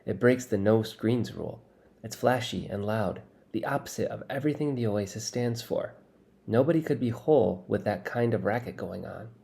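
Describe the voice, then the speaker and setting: A man's voice: on the low side, normal speed, creaky, warm tone. This is a man acting a strict, rule-abiding boy in a children's audiobook. He sounds indignant and scoffing, speaking in exclamations as he objects. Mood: scornful. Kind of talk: acted audiobook dialogue.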